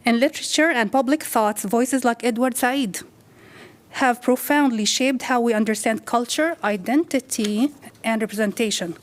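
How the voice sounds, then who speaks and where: A woman reading a formal prepared statement at the podium of a city council chamber, with measured delivery. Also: a little high. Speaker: community advocate.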